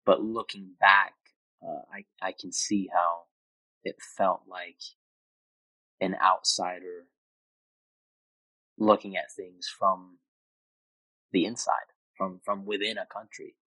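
Low-voiced reflective young man. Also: flat delivery, takes it slowly, pauses often, bright, forceful tone, no echo, poor audio. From Austria. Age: 20s. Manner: reflective, thoughtful with frequent restarts. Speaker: interview guest. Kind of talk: casual conversation.

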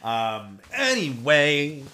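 A man's voice: on the high side, wildly swooping pitch, drawn-out words, pushed and bright, echoey room. A man co-hosting a podcast in casual chat, laid-back, cursing and laughing often, with a slightly stuffy nose. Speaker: podcast co-host.

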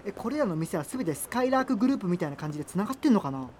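Opinionated high-school boy, radio drama, quick rambling banter, nitpicking, animated, ambient noise behind. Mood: griping, opinionated. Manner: rambling, fussy, complaining banter. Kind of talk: naturalistic radio drama dialogue. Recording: clearly noisy ambient background.

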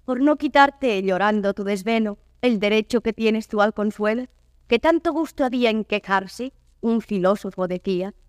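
An actress playing a proud, troubled noblewoman traveller, declaiming classical verse in a grand, measured way. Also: mid-range voice, dry recording, clean studio sound, natural voice. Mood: sorrowful.

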